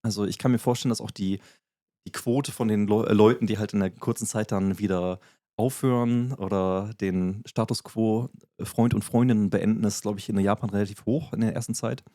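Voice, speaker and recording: A man's voice: lowish voice, steady tone, a little fast, warm tone, no echo, clean studio sound. A man co-hosting a podcast, explaining things in a casual, filler-heavy way. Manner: explanatory, many fillers and restarts. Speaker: podcast co-host.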